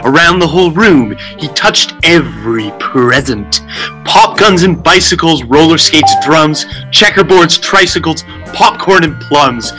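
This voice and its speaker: A man's voice: fairly high pitch, expressive, a little slow, pushed and bright, soft background music, low-quality recording. A man reading a rhyming comic story aloud as narrator. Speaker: story reader.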